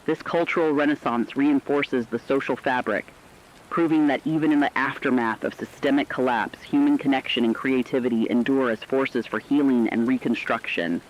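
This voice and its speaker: A man with a mid-pitched voice narrates a formal news script as a radio co-anchor. He speaks in a measured way, and the recording is dull and lo-fi. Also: steady tone.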